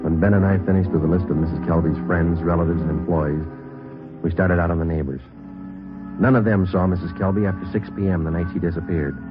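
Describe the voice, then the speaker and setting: An actor playing a terse American police detective, delivering lines in a clipped, deadpan, factual way in an old radio crime drama. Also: male voice, deep voice, brisk, close to an everyday voice. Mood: flat, factual. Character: terse, matter-of-fact male police detective narrating his case. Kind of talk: scripted radio drama narration. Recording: old radio broadcast, narrow band, music.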